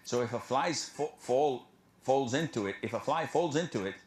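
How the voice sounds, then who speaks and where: A man giving an earnest religious lecture, explaining in a steady, lecturing way. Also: mid-range voice, normal speed, reverberant. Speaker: preacher.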